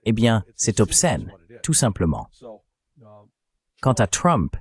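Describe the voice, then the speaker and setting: A man's voice: on the low side, huge pitch swings, slow, breathy edge. A man's synthetic dubbing voice reading translated political commentary as a discussion panelist.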